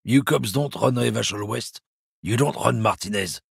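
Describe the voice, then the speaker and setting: A sneering bigoted lorry driver. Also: male voice, mid-range voice, moderate pace, creaky, husky, dry recording, exaggerated voice. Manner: sneering, contemptuous, swears constantly. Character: surly bigoted male lorry driver, middle-aged, hostile. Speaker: game character. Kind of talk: video game voice lines. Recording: dry close studio recording.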